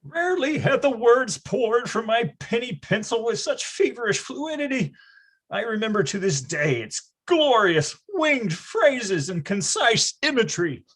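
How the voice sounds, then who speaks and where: A nostalgic, wry grown man played by an actor telling boyhood tales in a stage reading. His high voice is very lively and a little raspy, with mock-grand emphasis. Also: a little slow, heavily acted. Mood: mock grandiose. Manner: wry, storytelling, florid emphasis. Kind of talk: dramatic first-person narration in readers theatre.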